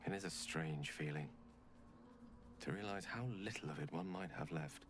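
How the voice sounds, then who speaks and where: An actor playing a refined, wistful wizard in a video game, speaking eloquently and reflectively. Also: male voice, extremely low pitch, slight background noise. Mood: wistful, resigned. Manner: eloquent, reflective, measured phrasing. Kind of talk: video game dramatic acting. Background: music.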